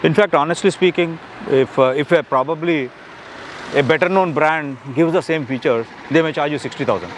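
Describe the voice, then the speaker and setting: A man's voice: fairly high pitch, moderate pace, a little hiss or hum. A man in his late fifties with an Indian accent, chatting casually as a customer in a review interview, rambling and repeating words for emphasis. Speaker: customer giving a product review. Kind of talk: casual unscripted interview chat.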